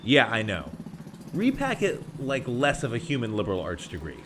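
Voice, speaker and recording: A man's voice: medium pitch, wildly swooping pitch, normal speed, noisy background. A man playing an arrogant, short-tempered scientist in an audio drama, sarcastic and belittling in manner. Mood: irritated, scolding.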